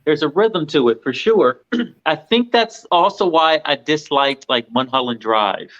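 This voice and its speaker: A man's voice: a little high, expressive, a little slow, a bit bright, lo-fi sound. A man discussing movies casually as a panelist, repeating himself for emphasis. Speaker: panelist on a film review show.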